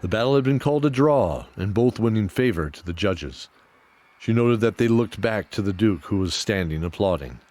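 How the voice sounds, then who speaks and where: A man's voice: fairly low pitch, expressive, moderate pace, a little mellow, a little hiss or hum. A man reading scripted fantasy storytelling narration for an audio drama. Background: music.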